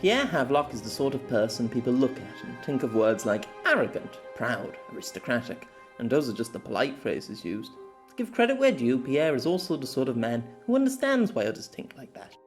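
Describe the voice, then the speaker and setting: A man's voice: mid-range voice, very animated, brisk. Wry male storyteller over faint music. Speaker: amateur audiobook narrator. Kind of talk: theatrical scripted story narration.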